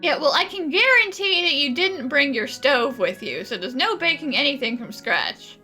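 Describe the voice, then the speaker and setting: A woman with a high, very animated voice commentates casually on a game. She is playful and wry, answering characters as if chatting, over background music. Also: normal speed. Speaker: gaming streamer playing and reacting. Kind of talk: casual, joking let's-play commentary.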